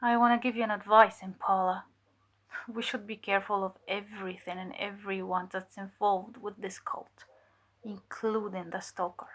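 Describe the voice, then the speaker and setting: A woman playing a fearful, guilt-ridden cult infiltrator giving halting, emotional testimony in an audio drama. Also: medium pitch, level tone, close to an everyday voice. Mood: wary.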